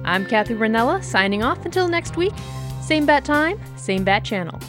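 A woman's voice: a little high, sing-song, moderate pace, over music. A woman hosting a radio drama show, closing the episode with friendly announcements. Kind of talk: scripted outro.

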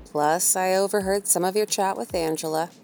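An actress playing an efficient, unflappable, matter-of-fact assistant in an audio drama. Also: female voice, on the low side, fairly even intonation, normal speed, darkish tone, soft background music, natural voice. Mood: matter-of-fact, concerned.

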